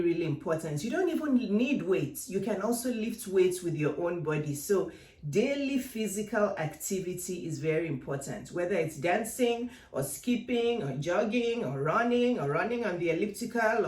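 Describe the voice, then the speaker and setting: A woman's voice: fairly low pitch, darkish tone. A woman in her fifties from Nigeria, giving direct, encouraging motivational advice to viewers in a vlog. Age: 50s. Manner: direct and encouraging, addresses viewers, repeats key points. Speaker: women's life coach. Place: home workout room. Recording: echoey room.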